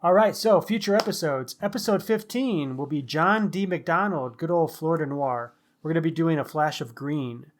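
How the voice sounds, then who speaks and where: A man's voice: fairly high pitch, normal speed, few pauses. A man co-hosting a book podcast, talking in a casual, chatty style. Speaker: podcast co-host.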